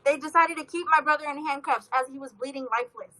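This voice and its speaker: Woman from california speaking at a rally, high bright voice, urgent and earnest. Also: fairly even intonation, quick pace, rough recording. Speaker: activist, victim's sister. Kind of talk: rally speech.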